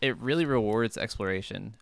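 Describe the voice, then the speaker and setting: A man's voice: fairly low pitch, fairly quick, gravelly, dry recording. A man talking casually as a co-host of a video game podcast. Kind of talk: casual chat.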